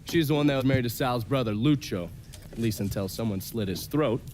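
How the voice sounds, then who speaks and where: An actor playing a cynical, foul-mouthed American intelligence agent in a cinematic video game, blunt and sardonic. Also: male voice, mid-range voice, brisk, darkish tone, lightly acted. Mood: cynical briefing. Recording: clear noise behind the voice.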